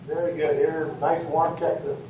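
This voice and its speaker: An older man speaking as a guest in a remote question and answer call, explaining things in a measured, point-by-point way. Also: a little high, flat delivery. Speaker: guest speaker, retired bank executive. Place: remote call relayed into a room. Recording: distant echoey conference call, faint noise.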